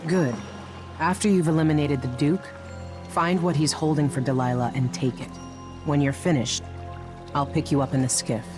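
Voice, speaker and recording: An actor playing a world-weary, wry smuggler ally giving a mission briefing in a video game. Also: male voice, on the high side, normal speed, long pauses, music in the background, natural voice. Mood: wry, businesslike. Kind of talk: video game cutscene dialogue.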